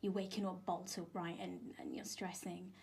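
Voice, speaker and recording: A woman's voice: fairly low pitch, sing-song, moderate pace, darkish tone. A woman, a yoga instructor speaking as a radio studio guest, informal, emphatic and explanatory. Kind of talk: explanatory radio interview talk. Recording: clear background noise in studio.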